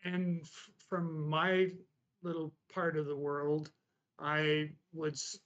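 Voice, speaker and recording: A Canadian man guest on a podcast, speaking in a halting, earnest way. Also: on the high side, monotone, fairly bright tone, muffled recording. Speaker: guest, mentor. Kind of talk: casual chat.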